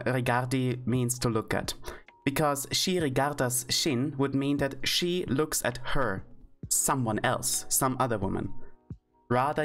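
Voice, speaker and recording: Woman, extremely low voice, casual streamer lesson, thinking aloud, faint background music. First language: German. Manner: thinking aloud, frequent fillers and self-corrections. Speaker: streamer teaching Esperanto.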